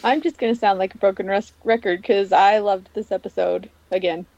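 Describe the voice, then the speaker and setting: A woman's voice: mid-range voice, steady tone, brisk, darkish tone, no room sound. A woman chatting casually as a panelist on a TV recap podcast, recorded in dull lo-fi audio.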